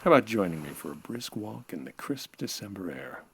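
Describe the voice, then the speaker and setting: An actor as a smooth-talking 1940s police inspector in a radio comedy. He is flirtatious and teasing, with lively swings of pitch, over faintly noisy ambience. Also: a little fast. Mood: suave, flirtatious. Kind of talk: comedic retro radio drama acting.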